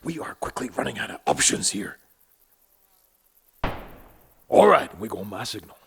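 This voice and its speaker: Gravelly, swooping Old West sheriff. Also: male voice, fairly low pitch, very animated, fast talker, a bit bright, slight background noise, heavily acted. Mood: tense, commanding. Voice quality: raspy, gravelly. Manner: barking orders, exasperated. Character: gruff, weary Old West sheriff commanding a gunfight. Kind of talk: western audio drama acting.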